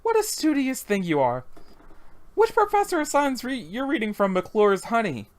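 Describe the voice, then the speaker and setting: Amateur performer as genteel, teasing hostess, raised lively pitch, sweetly prying. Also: normal speed.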